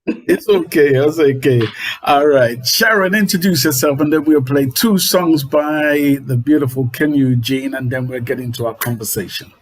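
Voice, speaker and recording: A man hosting a live radio interview, relaxed and jokey, with hesitant fillers. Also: mid-range voice, moderate pace. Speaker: radio host.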